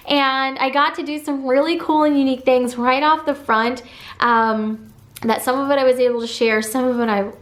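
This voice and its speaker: Upbeat mom vlogger lady, a bit high-pitched, gushing and chatty with lots of likes and ums. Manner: bubbly and enthusiastic, many fillers. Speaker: family travel vlogger. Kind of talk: casual chatty recap.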